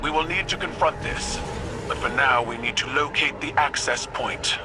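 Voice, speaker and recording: An actor playing a composed military commander in a game, with a measured, formal manner. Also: male voice, mid-range voice, music in the background, close to an everyday voice. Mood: grave, composed. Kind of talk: game dialogue acting.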